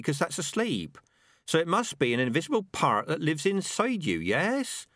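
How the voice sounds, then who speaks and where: A man's voice: on the high side, fairly bright tone, dry recording, studio quality, pitched above the performer's own voice, full character voice. A man voicing a naive, earnest rat in an audiobook, insistently asking big questions. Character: naive, earnest philosophical young rat pondering the afterlife.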